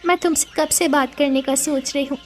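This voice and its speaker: Cartoon moral tale: actress as worried young village sweetheart, earnest, very high voice, soft music bed. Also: female voice, fairly even intonation, brisk, lightly acted. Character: anxious young village woman in love. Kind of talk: Hindi animated moral story acting.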